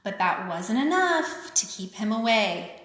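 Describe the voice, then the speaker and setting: A woman reading a picture book aloud to children, with expressive, simple phrasing and chatty asides. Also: medium pitch, unhurried. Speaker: children's author reading her own book. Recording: echoey, reverberant room.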